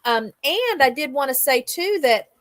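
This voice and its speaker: A woman's voice: high voice, very animated, measured pace, fairly bright tone. A middle-aged or older woman hosting a casual livestream chat, talking in an enthusiastic, rambling storytelling way. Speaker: host of a livestream roundup show.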